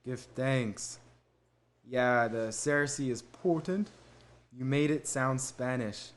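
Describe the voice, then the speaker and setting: A man's voice: mid-range voice, takes it slowly. A young man hosting a casual live stream, answering viewer questions and thanking commenters in an easygoing way with frequent fillers. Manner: fillers and laughs between answers.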